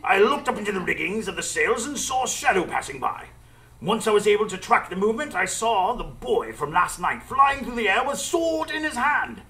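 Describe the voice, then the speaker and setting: Man as high, animated adventure narrator. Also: moderate pace, background noise. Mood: dramatic, suspenseful. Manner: dramatic storytelling. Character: earnest gentleman doctor narrating his adventure. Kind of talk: scripted radio drama narration.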